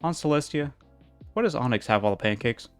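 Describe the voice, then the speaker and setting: A man's voice: medium pitch, lively intonation, brisk, clean tone, faint music behind, natural voice. A man voicing a bratty twelve-year-old unicorn colt with a snappy, urgent way of talking. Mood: complaining.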